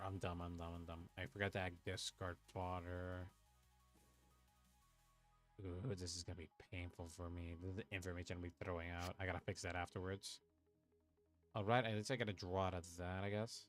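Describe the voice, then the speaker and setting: A young man from Puerto Rico, chatting casually on a livestream while he plays a card game, thinking aloud, swearing and muttering to himself. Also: low voice. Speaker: streamer playing an online card game. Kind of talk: casual livestream chat and play commentary.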